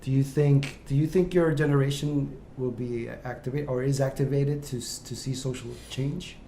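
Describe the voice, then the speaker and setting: Very deep-voiced woman hosting an interview. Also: fairly even intonation, moderate pace, warm tone, slight background noise. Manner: rambling, rephrases questions mid-sentence. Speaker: interview host. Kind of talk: casual interview conversation.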